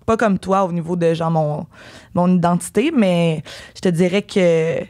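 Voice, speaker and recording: A woman's voice: fairly low pitch, unhurried, a little mellow. A woman with a Québécois accent, a guest actress rambling and thinking aloud in a casual interview, playfully evasive. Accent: Québécois French. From Quebec.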